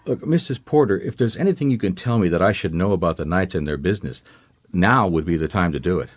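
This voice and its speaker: An actor playing a wry, persistent private detective in a radio mystery, with a dry, conversational, probing way of talking. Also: male voice, low-pitched, animated, fast talker, no room sound. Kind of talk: radio mystery drama, dialogue and narration.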